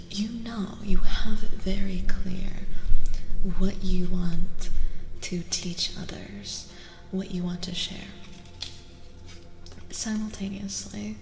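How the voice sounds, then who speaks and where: Soft, whispery woman in reverberant ASMR. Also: lowish voice. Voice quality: soft, breathy, often dropping to a whisper. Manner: gentle, deliberate, repeats phrases. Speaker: streamer and tarot reader. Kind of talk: soft-spoken ASMR, live tarot reading. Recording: roomy reverberant sound, faint noise.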